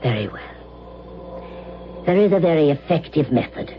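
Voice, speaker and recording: Solemn, cautioning mystic advisor. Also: male voice, on the high side, expressive, a little hiss or hum. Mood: grave warning. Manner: measured, solemn, formal. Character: grave, cautioning mystic advisor dealing in dark remedies. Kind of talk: radio drama acting. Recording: dull old radio broadcast, music behind.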